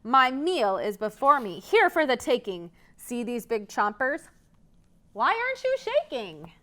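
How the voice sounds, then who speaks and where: A woman's voice: fairly high pitch, sing-song, unhurried, heavily acted. A woman voicing a grumpy, menacing gigantic female troll in a children's storybook, roaring in a scratchy voice. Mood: yelling, menacing. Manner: roaring, yelling.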